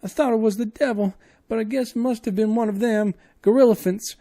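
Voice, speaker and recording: A man voicing a terrified, sobbing woman servant in a shrill, wailing falsetto. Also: fairly even intonation, brisk, warm tone. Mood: wailing terror.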